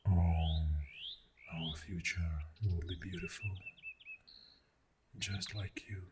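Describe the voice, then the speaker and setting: Deep, slow, soft-voiced man as a clingy boyfriend. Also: steady tone, over loud music. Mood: dreamy, loving. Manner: slow, tender, murmuring. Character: clingy, adoring boyfriend on a morning at a campsite. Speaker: ASMR roleplay performer. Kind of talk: soft-spoken ASMR boyfriend roleplay, often dropping to a whisper. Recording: birdsong and nature sounds throughout. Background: birdsong, river and forest ambience.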